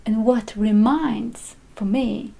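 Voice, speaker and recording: Woman, artist, reflective, hesitant pauses, dark mellow tone. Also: on the high side, fairly even intonation, vocal fry. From Poland. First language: Polish. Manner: measured, with frequent hesitations. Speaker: visual artist. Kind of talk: reflective talk on her art practice.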